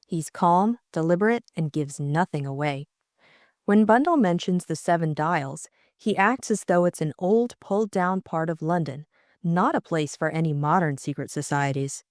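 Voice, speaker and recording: A woman delivers scripted audiobook narration as a co-host. Her voice sits slightly low, and the sound is dry and close, with no room around it. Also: normal speed, no echo.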